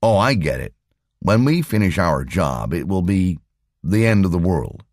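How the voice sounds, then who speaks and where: A man voicing a gruff, sceptical male computer engineer in an audiobook, wry and grumbling. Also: low-pitched, very animated, unhurried, darkish tone, no room sound, clean studio sound, exaggerated voice. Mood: wry, pondering.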